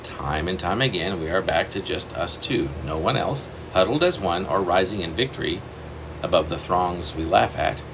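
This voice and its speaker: A man reading his own poem aloud in a measured, reflective way, pausing deliberately between phrases. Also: low voice. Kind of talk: poetry reading. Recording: steady air-conditioner hum behind the voice.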